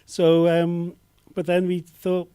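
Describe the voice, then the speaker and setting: A filmmaker speaks at a festival Q&A in a fairly high but mellow voice. He stops often, restarts his sentences and searches for words. The sound is close and dry. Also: completely flat delivery, slow pace, smooth, no echo. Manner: hesitant, many fillers and restarts. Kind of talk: festival Q&A answers. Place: cinema Q&A stage.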